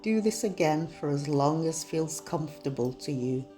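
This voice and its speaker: A woman guiding a meditation in a soothing, measured, unhurried manner. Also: deep voice, expressive. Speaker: meditation guide. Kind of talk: guided meditation narration. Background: soft soothing music.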